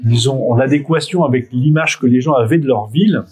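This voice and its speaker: Man, middle-aged, medium pitch, spontaneous interview talk, halting, repeats words. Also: darkish tone, slightly rough. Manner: hesitant, self-correcting, with frequent word repetitions. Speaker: photographer being interviewed. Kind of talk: spontaneous interview answers, reminiscing.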